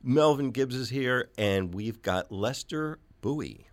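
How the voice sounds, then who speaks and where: A man's voice: mid-range voice, expressive, takes it slowly, no echo. A man hosting a radio show, chatting casually with a guest in a studio, laid-back and playful. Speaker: radio host. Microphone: studio microphone.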